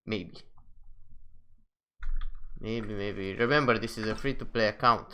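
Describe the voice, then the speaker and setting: A man's voice: on the low side, a little slow, sharp tone, slight background noise. A man giving casual, off-the-cuff commentary as he plays a video game. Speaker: gaming video host.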